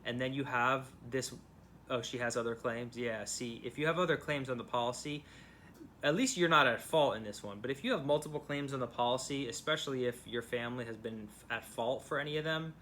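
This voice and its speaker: A man's voice: medium pitch, fairly quick, sharp tone. Casual, blunt male lawyer, noisy background. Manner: blunt, informal, repeats and restarts phrases. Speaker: personal injury lawyer. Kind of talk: casual phone advice to a client. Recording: clear background noise.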